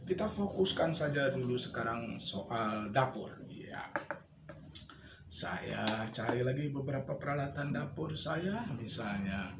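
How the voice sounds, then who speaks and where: In a reverberant studio with noticeable noise behind him, a man gives an informal lesson. He speaks at an average male pitch, explaining and thinking aloud as he demonstrates. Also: lively intonation, unhurried. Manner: instructive, thinking aloud while showing objects. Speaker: storytelling instructor and puppeteer-storyteller. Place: indoor home studio. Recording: echoey room with clear background noise.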